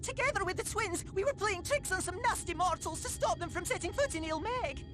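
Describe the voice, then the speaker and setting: A very high, wildly swooping little pixie, childish and mischievous. She speaks with sing-song excitability, like a playful game fairy. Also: a little fast, music in the background. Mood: gleeful. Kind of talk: video game cutscene acting.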